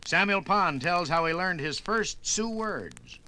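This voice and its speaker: A man's voice: sits high, big pitch swings, a little slow, sharp tone, low-quality recording. A man narrating a scripted 1950s radio documentary with dramatic, oratorical phrasing. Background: music.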